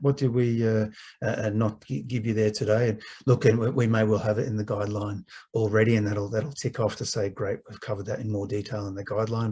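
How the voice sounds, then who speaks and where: A man's voice: fairly low pitch, mellow, husky. A man moderating a webinar informally, with encouraging, stop-start phrasing. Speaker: webinar host.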